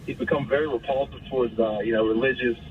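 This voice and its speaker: American male caller, mid-pitched, halting and earnest, on a distant phone with faint noise behind. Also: fairly even intonation. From Pennsylvania, United States. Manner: hesitant, halting, pauses often. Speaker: radio show caller. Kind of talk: earnest anecdote told on a call-in show. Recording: distant, noisy phone call.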